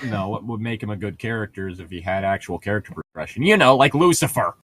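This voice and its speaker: A man's voice: lowish voice, animated, fast talker, fairly bright tone. A man co-hosting a read-along, blunt and sardonic, swearing freely as he moves between casual banter and reading aloud. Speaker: co-host of a group read-along show. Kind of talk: casual chat mixed with reading a novel aloud.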